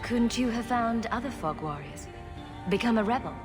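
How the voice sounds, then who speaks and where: An actress playing a caring female adventurer who asks gentle, probing questions in video game dialogue. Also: on the high side, expressive, moderate pace, big-room sound, music in the background, close to an everyday voice. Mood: curious.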